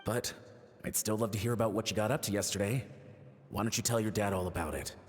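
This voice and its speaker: A man playing a gentle, indulgent, easygoing father in a video game. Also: fairly low pitch, fast talker, close to an everyday voice. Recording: long reverb, clear background music.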